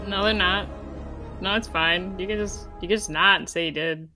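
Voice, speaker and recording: A woman's voice: on the low side, steady tone, normal speed, sharp tone, faint music behind. A woman chatting casually as a player in a tabletop game. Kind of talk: casual chat.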